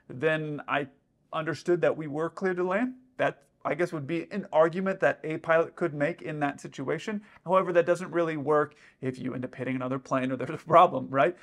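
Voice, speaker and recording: A man's voice: fairly high pitch, moderate pace, fairly bright tone. A man in his thirties, an airline pilot, explaining radio exchanges to camera in a casual, step-by-step way, recorded in a dim indoor room. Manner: explanatory, with frequent "let's say" asides.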